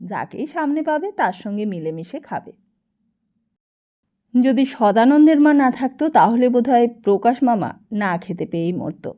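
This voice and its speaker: A woman reads a novel aloud as an expressive storyteller. Her voice is rather high yet soft-edged and mellow, and the recording sounds slightly dull. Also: unhurried. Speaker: audiobook narrator. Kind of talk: expressive literary story narration.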